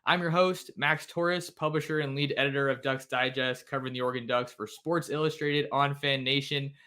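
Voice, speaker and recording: Bright-voiced male podcast host. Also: medium pitch, steady tone, brisk. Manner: friendly, restarts often. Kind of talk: casual interview.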